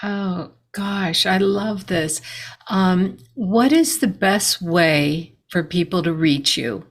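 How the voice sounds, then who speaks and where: A woman's voice: fairly low pitch, slow pace. A woman hosting a podcast, responding encouragingly to her guest. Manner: encouraging, warm reactions. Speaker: podcast host. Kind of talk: podcast interview.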